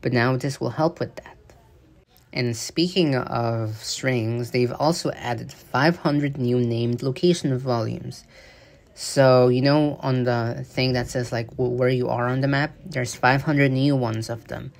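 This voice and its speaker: Casual male voice-over for gaming news, slightly gravelly, mid-pitched, rambling and halting with lots of fillers. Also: fairly even intonation. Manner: rambling, halting delivery with frequent fillers and restarts. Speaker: game news commentator. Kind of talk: casual voice-over commentary on gameplay footage.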